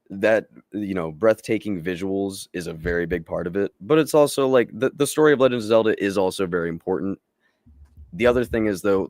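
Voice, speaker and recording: A man with a fairly low voice talks casually as a podcast co-host. He is opinionated and emphatic, and swears freely. The sound is very dry, with almost no room around him. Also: darkish tone, no room sound. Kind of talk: casual live panel discussion.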